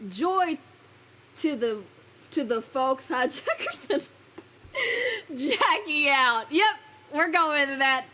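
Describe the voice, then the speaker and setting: A woman's voice: high voice, very animated, takes it slowly, pauses often, sharp tone. A woman streaming live, chatting loosely with her viewers, laughing often, swearing casually and fumbling her lines. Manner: giggly, rambling, swears casually. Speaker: virtual streamer.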